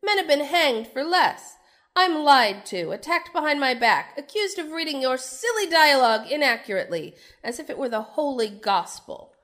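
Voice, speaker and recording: A woman's voice: high-pitched, lively intonation, moderate pace, fairly bright tone. A woman playing a proud, jealous, middle-aged stage actress with biting sarcasm in a theatrical radio drama style. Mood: indignant. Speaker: voice actor in an audio drama. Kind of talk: theatrical old-fashioned radio drama acting.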